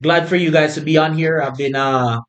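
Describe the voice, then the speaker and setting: A man's voice: fairly high pitch, fairly even intonation, normal speed, dull audio. A man hosting a podcast, introducing his guests in a friendly, brotherly way and often saying "you know.". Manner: warm, brotherly welcomes with filler "you know". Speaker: podcast host.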